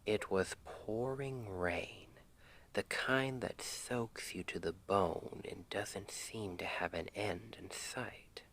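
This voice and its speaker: A man playing a tender, playful boyfriend in an ASMR roleplay, speaking in a gentle, intimate half-whisper to soothe his partner to sleep. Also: on the low side, slow pace. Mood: soft, playful storytelling. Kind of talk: ASMR roleplay, soft bedtime storytelling.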